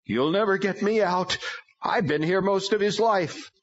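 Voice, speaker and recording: A man voicing a shrieking, boastful male demon in an audiobook reading. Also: a little slow. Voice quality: high strained shrieking voice. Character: shrieking male demon called Witchcraft, boastful then pleading. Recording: slightly dull lo-fi recording.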